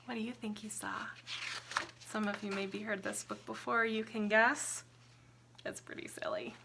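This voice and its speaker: A woman teacher reading a children's picture book aloud in an expressive, sing-song way, pausing to ask young listeners simple questions. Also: on the high side. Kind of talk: interactive children's storybook read-aloud with counting and singing.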